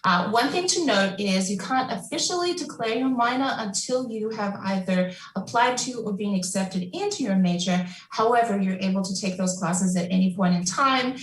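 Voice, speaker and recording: A woman's voice: medium pitch, moderate pace, few pauses. A woman advisor answering a student question on a panel in an upbeat, friendly, explanatory way. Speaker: academic advisor. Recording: echoey room, distant mic.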